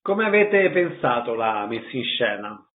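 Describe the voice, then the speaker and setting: A man's voice: mid-range voice, big pitch swings, a little slow, a little hiss or hum. A man hosting a radio interview, asking questions and reading out announcements. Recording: phone-like narrow band radio sound.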